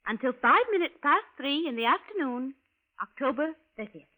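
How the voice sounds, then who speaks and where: An actress playing a polite, gushing young wife from the future, prim and formal in 1940s radio style. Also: female voice, high-pitched, animated, moderate pace, pushed and bright. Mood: prim, pleasant. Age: 20s. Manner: prim, formal, precise, few contractions. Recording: narrow, muffled vintage radio recording.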